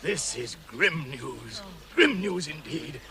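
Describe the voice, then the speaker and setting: A man's voice: a little high, lively intonation, takes it slowly, sharp tone, full character voice. An actor playing an exasperated, self-important dwarf king in a radio fantasy drama, declaiming and fussing. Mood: weary, grave. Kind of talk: full-cast radio fantasy acting.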